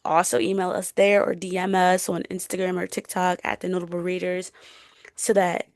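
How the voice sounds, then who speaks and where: A woman's voice: fairly low pitch, completely flat delivery, normal speed, no room sound, clean studio sound. A young woman co-hosting a podcast in casual, chatty, slangy conversation. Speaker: podcast co-host.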